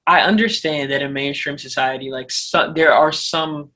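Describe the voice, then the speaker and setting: A woman co-hosts a casual podcast. Her voice is low enough that people often take it for a man's, but its tone is bright and lively. She talks hesitantly and restarts her sentences often. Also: normal speed. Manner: hesitant, many restarts and fillers. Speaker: podcast co-host.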